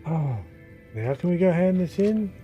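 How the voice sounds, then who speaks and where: Mellow-voiced man muttering through a game stream. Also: high voice, animated, normal speed, faint music behind. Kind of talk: casual live gameplay commentary.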